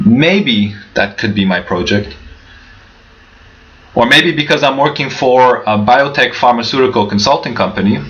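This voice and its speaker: A man's voice: mid-range voice, pushed and bright. A young man giving a prepared keynote speech, earnest, with rhetorical questions and frequent restarts. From Canada. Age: young adult. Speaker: keynote speaker. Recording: dull lo-fi livestream audio.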